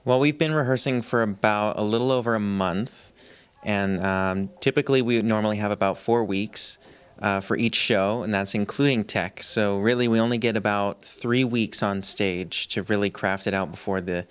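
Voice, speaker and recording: Young male student actor, low-set smooth voice, relaxed halting delivery. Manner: easygoing, a bit halting with fillers. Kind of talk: casual interview answers.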